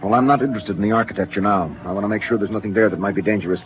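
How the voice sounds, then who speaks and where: An actor playing a stern, commanding spaceship captain in a 1950s radio drama, speaking curtly. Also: male voice, lowish voice, rapid-fire, close to an everyday voice. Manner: curt, authoritative. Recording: tinny old radio broadcast.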